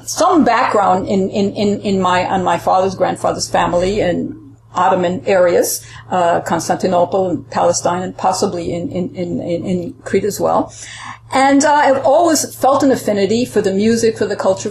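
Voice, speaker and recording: A woman from Puerto Rico answering interview questions earnestly, often pausing and restarting as she searches for words. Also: mid-range voice, reverberant, slight background noise, rough recording. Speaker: interview guest.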